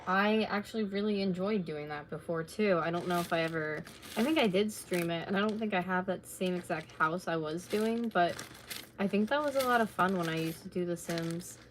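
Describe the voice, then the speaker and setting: A woman in her late twenties, slightly raspy from talking, chatting casually and rambling while she crafts at home on a live stream. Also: fairly low pitch, a little fast, slight background noise, low-quality recording. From North America. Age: late 20s. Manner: rambling, chatty, laid-back with filler words.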